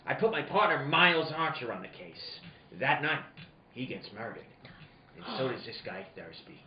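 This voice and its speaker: A man's voice: medium pitch, sing-song, normal speed, a crowd in the background, close to an everyday voice. A man playing a tough, cynical private detective in a 1940s noir radio play, dry and slangy. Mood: matter-of-fact, narrating. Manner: hard-boiled, slangy, dry. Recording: roomy, dull amateur recording with people around.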